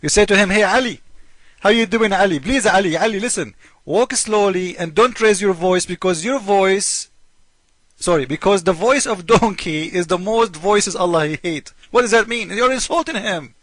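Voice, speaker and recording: A high-pitched man with non-native English delivers informal religious polemic in a dry, close sound. He is mocking and theatrical, repeating his points and playing both sides of an argument. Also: normal speed, fairly bright tone, no echo. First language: not English. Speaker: host of a religious polemic video. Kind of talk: informal polemical commentary on scripture.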